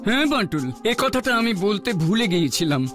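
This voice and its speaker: High-voiced, courteous, anxious king. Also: sing-song, fairly quick, fairly bright tone. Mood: earnest. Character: anxious, courteous king, father of a grown princess. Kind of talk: children's cartoon voice acting. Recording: music playing behind the voice.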